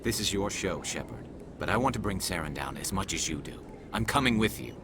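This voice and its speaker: A determined alien investigator from a video game, speaks in a low register. He is earnest and intent about his duty. A clear vehicle-like hum runs behind the voice. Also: animated, a little fast. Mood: intent, resolute.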